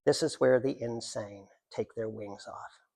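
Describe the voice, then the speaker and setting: A man with a noticeably gravelly, creaky voice at an ordinary middle pitch gives a live literary reading of his own writing. He slips into rambling, self-deprecating asides with a joking manner. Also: a little slow, mellow. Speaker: writer reading from own work.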